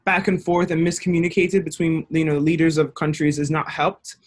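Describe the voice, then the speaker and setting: A woman's voice: low-pitched, fairly even intonation, a little fast. Woman, radio co-host, born in england, playful, lots of questions, casual chat.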